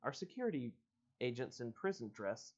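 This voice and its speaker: A man's voice: medium pitch, big pitch swings, moderate pace, muffled recording. A man voicing a courteous, evasive spokesman of a secretive organization in a storybook, speaking formally and politely. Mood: smooth recruiting pitch. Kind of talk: storybook character reading.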